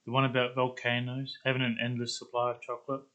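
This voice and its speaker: Man playing gullible cartoon adventurer, excited, curious, sharp bright voice, forceful. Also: mid-range voice, fast, highs cut off, natural voice. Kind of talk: animated character script reading.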